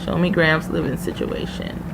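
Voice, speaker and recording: A woman's voice: low voice, fairly even intonation, moderate pace, vocal fry, noisy background. A woman hosting a live tarot reading, chatting informally and familiarly with viewers as she thinks aloud. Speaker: tarot reader hosting a livestream.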